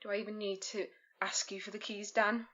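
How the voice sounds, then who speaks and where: Resolute blunt survivor, medium pitch, dry delivery, muffled recording, audio drama. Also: female voice, level tone, fairly quick, bright, forceful tone. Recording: dull, muffled sound.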